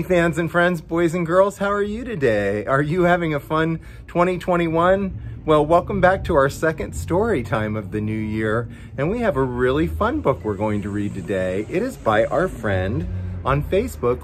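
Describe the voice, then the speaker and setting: A man's voice: a little high, big pitch swings, moderate pace, a little hiss or hum. A man reading a picture book aloud to children, playfully coaxing them and chatting directly to them between passages. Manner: playful, coaxing, talks directly to young viewers. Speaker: story time host reading to children.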